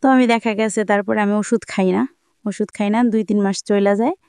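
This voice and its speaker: A young woman speaks in colloquial Dhaka-area Bengali with a smooth, clear voice at a middle pitch. She tells her own story plainly, circling back and repeating herself. The sound is close and dry. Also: fairly even intonation, fairly quick, darkish tone, dry recording. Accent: Bangladeshi Bengali, colloquial Dhaka-area dialect. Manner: plain-spoken, repetitive, rambling. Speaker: guest telling own life story.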